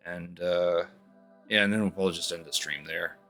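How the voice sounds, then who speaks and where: A man giving casual livestream game commentary, informal and a bit halting as he talks. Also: low-pitched, steady tone, a bit bright. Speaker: game streamer. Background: game music.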